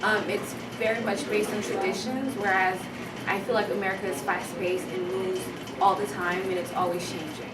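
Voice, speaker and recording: A young woman from Haiti talks casually as an interviewee in a mid-pitched voice. The recording is echoey and very noisy, as if the mic is some way off. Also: lively intonation, moderate pace, recorded from a distance.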